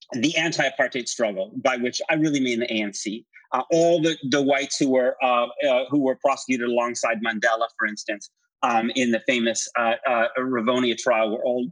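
A man's voice: medium pitch, fairly bright tone, no echo, rough recording. A man speaking as an interview guest, explaining politics and history in an articulate, discursive, emphatic conversational way. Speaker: interview guest, writer and commentator.

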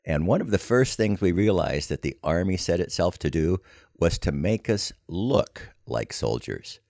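An older American man telling a personal story in a scripted, wry, folksy and measured way. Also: low-pitched, sing-song, darkish tone, no room sound, studio quality. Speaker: podcast storyteller.

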